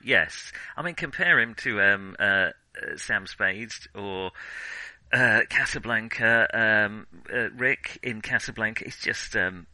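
A man's voice: fairly low pitch, slow, bright, forceful tone, dry recording, low-quality recording. A man co-hosting a podcast, discussing films casually in a hesitant, discursive way that often corrects itself. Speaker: podcast co-host.

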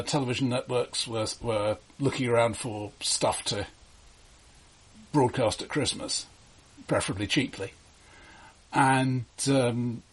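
Husky, stammering man in discussion. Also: mid-range voice. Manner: hesitant, stammering, wry and critical. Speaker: podcast host. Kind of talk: unscripted film discussion.

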